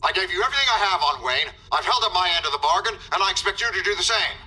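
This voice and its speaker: An actor playing a corrupt middle-aged mayor in a video game, blustering, indignant and easily rattled. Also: male voice, sits high, expressive, rapid-fire, sharp tone, breathy edge, full character voice, low-quality recording. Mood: defensive, indignant. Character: corrupt, panicky middle-aged male city mayor, blustering and self-serving. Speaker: politician character. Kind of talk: dramatic video game acting.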